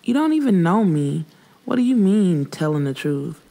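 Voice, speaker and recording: A woman's voice: fairly low pitch, big pitch swings, normal speed, gravelly, mellow. A woman voicing a guarded, sassy young woman who talks back hesitantly in an urban fiction audiobook. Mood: skeptical, sassy. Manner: hesitant, skeptical, talking back.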